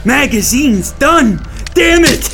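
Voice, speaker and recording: A sneering, vicious American gangster from a pulpy radio drama. He speaks high and drawn out, swinging theatrically through slangy threats over background music. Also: animated, takes it slowly, gravelly, full character voice. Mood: grieving rage. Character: vicious taunting gangster with razor powers, grieving brother.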